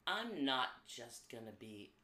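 Animated, bright-voiced woman telling stories. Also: a bass voice, slow. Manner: animated, swears freely, laughs often. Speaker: podcast guest, Broadway performer. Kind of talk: casual storytelling chat. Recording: echoey room with faint background noise.

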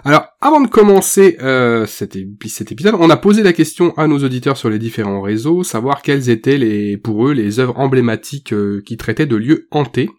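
A man's voice: medium pitch, animated, brisk, few pauses. A man co-hosting a podcast in a casual chat, talking through a stuffy nose from a cold, hesitant with many fillers. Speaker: podcast co-host.

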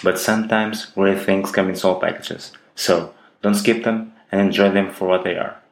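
A man's voice: low voice, fairly even intonation, creaky. A man with a Russian accent hosting a casual tech podcast, joking and often restarting his sentences. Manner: halting, jokey, many restarts. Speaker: podcast host.

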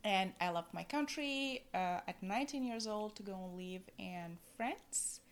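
Slow, earnest woman from Tunisia. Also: medium pitch, slight background noise. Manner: earnest, reflective, slow and deliberate. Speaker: podcast guest. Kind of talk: casual podcast interview.

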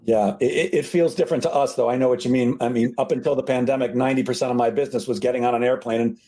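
A man hosting a podcast, talking in a relaxed, thoughtful way with his guest. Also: medium pitch, rapid-fire. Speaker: podcast host. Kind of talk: casual interview conversation.

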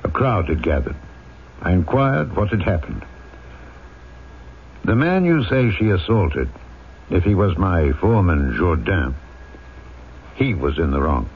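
An actor playing a compassionate, authoritative town mayor in an old-time radio drama, speaking in a dignified, earnest way. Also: male voice, low-pitched, very animated, a little slow, pauses often, a little hiss or hum, exaggerated voice. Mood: firm, protective. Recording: tinny old radio broadcast, faint music.

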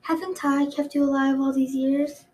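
A woman's voice: high voice, flat delivery, a little mellow, pitched above the performer's own voice, natural voice, rough recording. A woman voicing a haughty, cruel dragon queen who speaks with cheerful malice. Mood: gloating. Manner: cheerfully malicious, drawn-out, imperious. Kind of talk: audiobook character reading.

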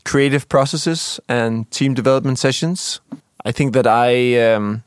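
Young guy on a podcast, calm explainer, dry humor, mid pitch, no room sound. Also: measured pace, clean tone, no echo. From Denmark. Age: young adult. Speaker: podcast co-host. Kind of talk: casual podcast chat.

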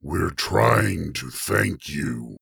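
A man voicing a huge, weary, exasperated dragon with a gravelly, strained voice and formal, labored delivery in a fantasy audiobook. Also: sits low, expressive, very slow, a bit bright, no echo, clean studio sound, pitched below the performer's own voice, heavily acted. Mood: gasping relief.

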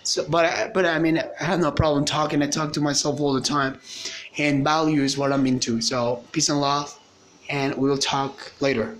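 A man's voice: a little high, steady tone, moderate pace, fairly bright tone, a little hiss or hum. A man whose first language is Spanish, giving a rambling, motivational solo podcast monologue in casual chat.

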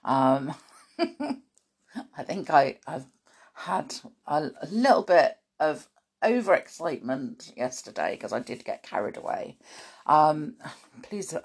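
A woman's croaky, gravelly morning voice, slow and unhurried, talking to children in a chatty way. Her pitch sits low but swings widely as she muses aloud, and she scatters ums and soft laughs between her thoughts. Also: big pitch swings. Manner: warm chatty aside-filled talk with many ums and little laughs. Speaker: children's podcast host and storyteller. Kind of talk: chatty spoken intro to children's story podcast.

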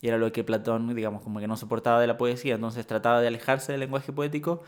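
A man speaking as a poet guest in a reflective conversation, thoughtful and halting with many restarts. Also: mid-range voice, flat delivery.

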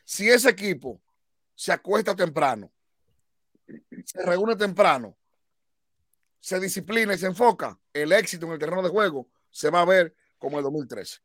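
Emphatic high-voiced man. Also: lively intonation, moderate pace, pauses often, a bit bright, dry recording, studio quality. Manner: emphatic, playful, lecturing. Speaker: baseball analyst. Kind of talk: emphatic sports panel talk.

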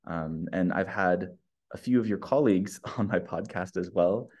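A man with a very low voice hosts a podcast in a relaxed, chatty interview style. He rambles a little, leaning on fillers and restarts as he builds up his questions. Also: moderate pace. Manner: friendly, rambling with many fillers. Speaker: podcast host, researcher and educator.

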